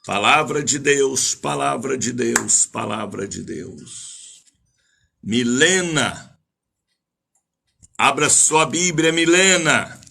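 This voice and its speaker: A man's voice: mid-range voice, animated, takes it slowly, creaky, long pauses, sharp tone, a little hiss or hum. An older man preaching as an evangelical bishop on a live radio service, declamatory, repeating phrases and drawing out names as he greets listeners.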